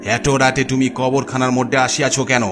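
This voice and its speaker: A man voicing a stern, scolding gentleman ghost with stilted, in a horror cartoon. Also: mid-range voice, level tone, moderate pace, natural voice. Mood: stern, threatening. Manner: stern, barking, stilted formal speech. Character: stern scolding English sahib ghost in a graveyard. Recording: background music under voice.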